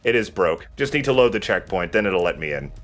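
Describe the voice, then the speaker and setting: A man's voice: low voice, lively intonation, fairly quick. A man giving casual gameplay commentary as he plays, talking through it in offhand asides. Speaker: let's player playing the game. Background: video game music.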